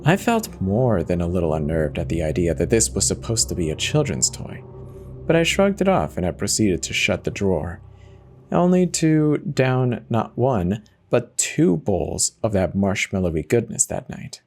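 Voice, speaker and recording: A man's voice: fairly low pitch, sing-song, moderate pace, darkish tone, a little hiss or hum. A man giving a dramatic first-person reading of a horror story as its narrator.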